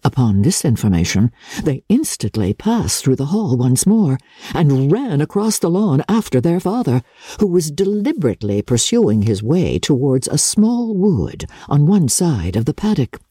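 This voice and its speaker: A woman from Canada reads classic fiction as a practised narrator. Her tone is mellow and dark, and the sound is dry. The delivery is measured and expressive, in the manner of dramatic literary storytelling. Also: low voice, husky, no echo. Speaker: audiobook narrator, actress and radio host.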